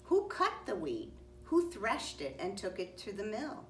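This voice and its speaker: A woman's voice: mid-range voice, wildly swooping pitch, a little slow, voice pushed up. A woman voicing an industrious, self-reliant little red hen from a children's storybook, with emphatic questions and firm declarations. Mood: indignant. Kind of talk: children's storybook read-aloud. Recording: roomy, distant mic, faint noise.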